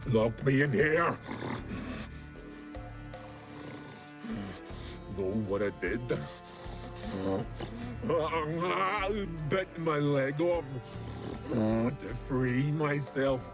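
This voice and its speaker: Slow, gravelly, savage cursed werewolf. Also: male voice, a little high, lively intonation, music in the background, heavily acted. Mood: menacing, pained. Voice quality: gravelly, growling. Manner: halting, broken phrases, groaning. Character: cursed male werewolf, savage, boastful, tormented by endless hunger. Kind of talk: dramatic video game character acting.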